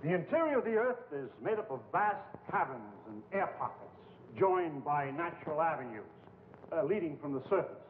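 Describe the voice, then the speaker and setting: High-voiced, earnest scientist, echoing hall. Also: male voice, big pitch swings, moderate pace. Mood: earnest, persuasive. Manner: earnest, formal, declamatory. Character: embattled, earnest male scientist pleading to save mankind. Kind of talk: 1950s film acting, oratorical. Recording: hollow, tinny old film soundtrack, hiss.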